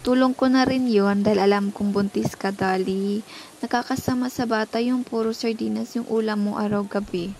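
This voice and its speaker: A woman's voice: medium pitch, fairly even intonation, moderate pace, darkish tone, natural voice. A woman playing a kindly but firm neighbourhood storekeeper in an amateur radio drama. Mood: friendly. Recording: noisy homemade recording with clicks.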